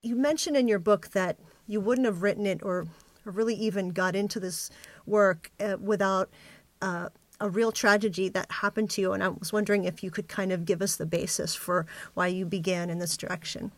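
A woman hosting an interview, putting questions conversationally with measured, tentative phrasing. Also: mid-range voice, fairly even intonation, no room sound. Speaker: interviewer, program host.

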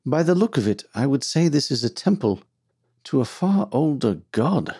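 A man voicing a sensible, curious gentleman explorer in an audiobook, polite and somewhat hesitant. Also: medium pitch, very animated, moderate pace, husky, pitched above the performer's own voice. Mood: awed. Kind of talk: audiobook character dialogue.

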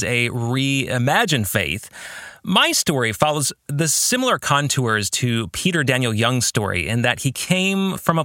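A middle-aged American man hosting a podcast, speaking in a reflective, explanatory monologue with measured phrasing and occasional self-corrections. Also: medium pitch, sharp tone, no room sound, studio quality. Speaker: podcast host and author.

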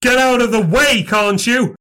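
A man's voice: on the high side, unhurried, lightly acted. A man voicing a shaken, earnest husband in a ghost story, agitated and insistent. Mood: frantic. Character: frantic, shaken husband telling of ghostly horror. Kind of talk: storybook character dialogue.

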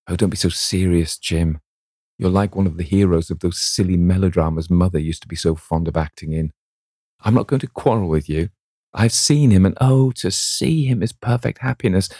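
A man's voice: sits low, normal speed, warm tone, no room sound, clean studio sound. A man voicing a young actress, dreamy and lovestruck, speaking in a breathless, rapturous way. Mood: pleading.

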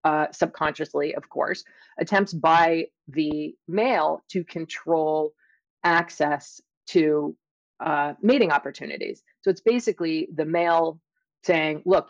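A middle-aged woman speaking as an interview guest, explaining and telling her experiences in a thoughtful, halting way with drawn-out emphasis. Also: sits low, vocal fry, dry recording, muffled recording. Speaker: interview guest, scientist and author. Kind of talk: conversational interview, personal storytelling and explanation.

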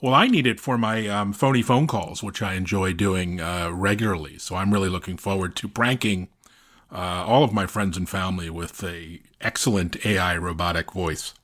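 Low-voiced man with dry banter. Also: normal speed, a bit bright. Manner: dry, joking. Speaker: co-host of an industry news podcast. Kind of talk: casual banter.

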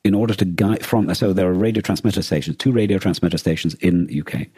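A man's voice: deep voice, fast talker, warm tone, husky, dry recording, clean studio sound. A man co-hosting a podcast, talking casually in a thoughtful, explanatory way with some hesitation. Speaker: podcast co-host. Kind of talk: casual discussion.

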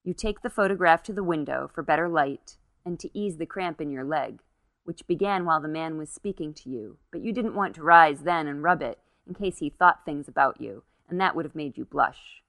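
A woman's voice: low voice, normal speed, fairly bright tone, dry recording. A woman narrating a literary short story as its reader.

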